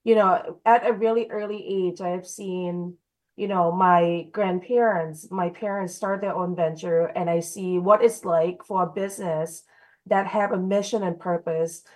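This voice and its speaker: A woman's voice: on the low side, fairly even intonation, unhurried, darkish tone, poor audio. A woman in her thirties from Thailand, a company founder telling her story in an interview, earnest with many fillers and restarts. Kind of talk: conversational interview.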